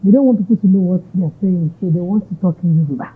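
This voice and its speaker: A woman's voice: fairly low pitch, rapid-fire, slightly rough. A Nigerian woman entrepreneur answering interview questions, switching between English and Yoruba phrases. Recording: tinny narrow-band audio.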